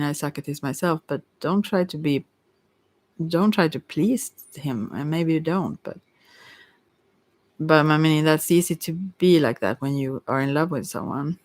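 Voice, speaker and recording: Halting woman casually reading tarot, dry recording. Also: sits low, no echo. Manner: halting, thinking aloud. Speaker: tarot reader.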